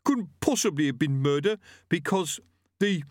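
A man's voice: on the high side, lively intonation, normal speed, darkish tone, dry recording, clean studio sound, voice pushed up, natural voice. A man voicing the ghost of a thuggish male butcher who sounds aggrieved and self-pitying and stumbles over long words.

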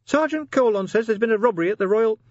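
A man's voice: sits high, lively intonation, fast, no room sound, lo-fi sound, pitched above the performer's own voice. A man voicing a nervous, polite female dwarf police sergeant, hesitant and deferential, in a storybook. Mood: uneasy reporting.

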